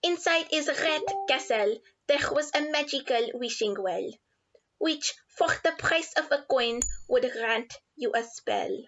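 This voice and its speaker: Woman narrating a rhyming fairy tale, high voice, dull lo-fi recording. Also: measured pace, fairly bright tone. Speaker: storyteller. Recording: slightly dull, lo-fi.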